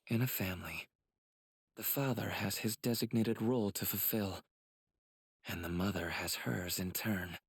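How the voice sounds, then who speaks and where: A cold, controlling young male demon from an anime game. The voice is low, heavily husky and breathy, recorded dry. He talks down to his prey with quiet, deliberate menace. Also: steady tone, no room sound. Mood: cold, menacing. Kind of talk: English anime game voice acting.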